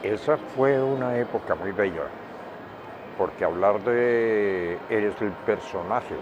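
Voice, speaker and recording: Slow-speaking older Colombian man, low voice. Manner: deliberate, emphatic, repeats words for emphasis. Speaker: interviewee, veteran radio director and journalist. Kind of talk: reflective interview talk. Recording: dull lo-fi, clear outdoor traffic noise.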